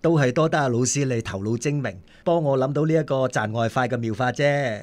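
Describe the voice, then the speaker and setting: A man's voice: medium pitch, a little fast, darkish tone, lightly acted. A man playing a modest, bashful working-class father in a radio drama, humble in manner, with a Hong Kong Cantonese accent. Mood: grateful. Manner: humble, self-effacing.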